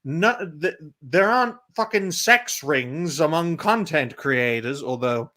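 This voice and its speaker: A man in his mid-twenties hosting a livestream chat, crude and quick with his remarks. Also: a little high, lively intonation, bright, forceful tone. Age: 20s. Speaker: livestream host. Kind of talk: casual podcast chat.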